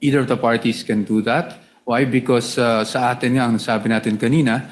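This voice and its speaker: A man's voice: medium pitch, fairly even intonation, fairly quick. A man with a Filipino accent answers the court in a formal, deferential way, slipping into Filipino at times. He sounds set back from the microphone. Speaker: lawyer.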